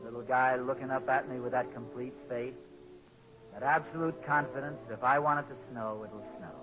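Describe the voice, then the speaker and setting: A man's voice: medium pitch, fairly even intonation, brisk, music in the background, close to an everyday voice, low-quality recording. An actor playing a well-meaning, flustered father in 1940s radio comedy-drama, hedging and backpedaling in a homespun way. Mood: resigned. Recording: 1940s radio broadcast recording.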